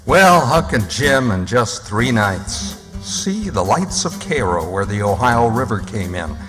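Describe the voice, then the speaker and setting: Radio narrator over clear music. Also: male voice, medium pitch, big pitch swings, measured pace. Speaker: radio drama narrator and announcer. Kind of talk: scripted storytelling narration. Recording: long reverb, guitar music behind.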